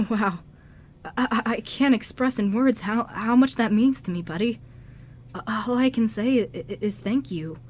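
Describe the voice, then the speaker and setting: Kind young man ghost played by a woman, high-voiced, stammering and sincere, for a radio play. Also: darkish tone, natural voice. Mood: moved, grateful. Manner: halting, stammering, earnest. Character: kind, nervous young man ghost, earnest best friend. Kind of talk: amateur radio drama script reading.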